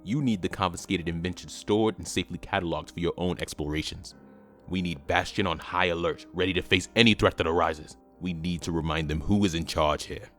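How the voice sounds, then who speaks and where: A man plays a ruthless, ambitious villain in a fantasy audio drama. His voice is very low, and he speaks in curt commands with an impatient, domineering edge. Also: fairly even intonation, brisk, a bit bright, soft background music. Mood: forceful, demanding.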